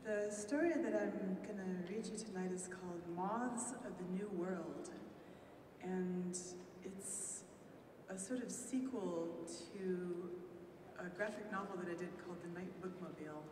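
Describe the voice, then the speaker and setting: A woman's voice: fairly low pitch, unhurried. A woman reading her own story at a live event, voicing the characters. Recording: echoey hall from far off, noisy.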